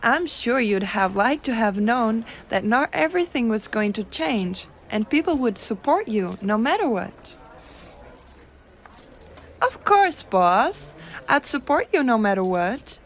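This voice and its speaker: A woman playing a gentle, teasing giantess secretary in an ASMR roleplay, coaxing and cooing softly. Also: fairly high pitch, big pitch swings. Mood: tender, reassuring. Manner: soft coaxing, cooing, unhurried.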